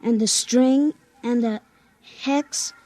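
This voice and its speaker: A woman playing an obedient, easily puzzled young child with halting speech in a 1950s radio drama. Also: on the high side, dark tone, no echo. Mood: puzzled, dutiful.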